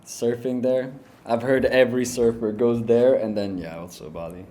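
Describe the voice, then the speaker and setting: A man's voice: medium pitch, steady tone, moderate pace, dark tone. A young man hosting a casual podcast, upbeat and slangy, rambling and restarting his sentences. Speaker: podcast host.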